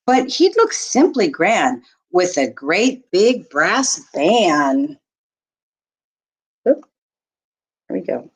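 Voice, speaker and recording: Animated woman reading a picture book aloud. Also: lowish voice, husky. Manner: expressive, deliberate storytelling with short asides to listeners. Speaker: teacher reading aloud to children. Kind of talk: children's picture book read-aloud in rhyme.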